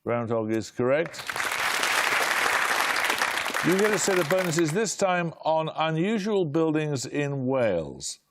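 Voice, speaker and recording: Older British male quiz host, dry wit, clipped, animated pitch. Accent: British English. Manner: brisk, dry and wry, clipped delivery. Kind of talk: studio quiz hosting, reading questions. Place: television studio.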